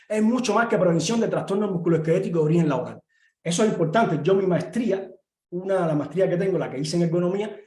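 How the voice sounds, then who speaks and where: A middle-aged professor from Cuba with a Cuban Spanish accent, speaking earnestly in an online talk with many pauses and filler sounds. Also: male voice, on the high side, darkish tone. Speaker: university professor and guest speaker. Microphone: video call. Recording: echoey room.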